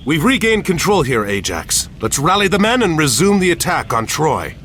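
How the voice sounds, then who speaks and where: An actor playing a bold, decisive mythic war hero in a game cutscene, speaking with authority. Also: male voice, fairly high pitch, big pitch swings, moderate pace, a bit bright, slight background noise. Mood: urgent, commanding. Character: bold, commanding Greek-myth war hero admiral. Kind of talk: dramatic game cutscene acting. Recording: faint music behind the voice.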